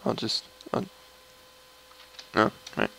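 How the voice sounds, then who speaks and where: A young man with a low voice, rambling casually through gameplay commentary at his computer. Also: bright, forceful tone, a little hiss or hum, low-quality recording. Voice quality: low, gravelly voice. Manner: slow, mumbly and rambling, trailing off. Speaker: gamer streaming a mining session.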